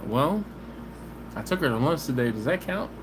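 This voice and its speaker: A man's voice: mid-range voice, expressive. A man, hosting a casual live stream from home on his phone and chatting easygoing with viewers. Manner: easygoing and chatty, with drawn-out "mm-mm" hums. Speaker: barbecue channel host. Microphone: phone camera. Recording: live stream audio with background hiss.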